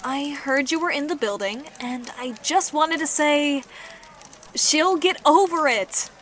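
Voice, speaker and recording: A woman acting a friendly young woman in a comic dub, playful and gentle as she teases and consoles. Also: sits high, a little slow, slight background noise. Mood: comforting.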